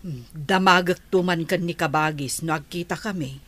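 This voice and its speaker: A woman's voice: on the low side, moderate pace, a bit bright. A woman playing a hesitant relative of a farming family in an Ilocano radio drama. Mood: hesitant, sympathetic.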